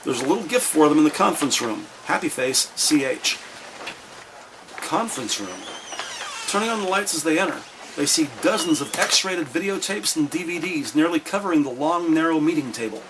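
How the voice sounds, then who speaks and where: A man's voice: on the high side, expressive, normal speed, noisy background. A man reading scripted narration for a detective crime drama. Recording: music under the voice. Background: background music.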